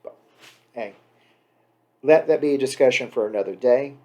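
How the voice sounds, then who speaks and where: A man's voice: medium pitch, normal speed, warm tone, lo-fi sound. Man chatting casually with wry, emphatic asides. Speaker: host introducing a poem reading. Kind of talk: casual off-the-cuff spoken introduction.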